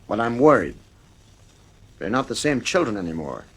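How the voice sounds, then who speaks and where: A man's voice: mid-range voice, big pitch swings, moderate pace, husky, rough recording. An actor playing a stern, worried father in a 1950s radio drama, firm and weary in manner.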